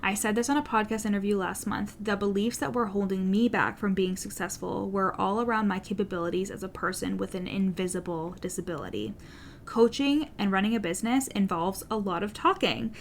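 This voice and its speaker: A woman coach with a stutter talks straight to her listeners in a solo podcast episode, her manner earnest and direct, now and then swearing for emphasis. Her voice sits at a medium pitch for a woman. A faint low rumble hums under the recording. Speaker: business coach, podcast host.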